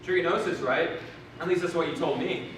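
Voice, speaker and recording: A man's voice: on the high side, brisk, a bit bright, lightly acted. A man acting an eager, awkward new father in a stage comedy, hesitant and anxious to please, in an echoey room. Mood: casual. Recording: echoey, noisy room recorded from far off.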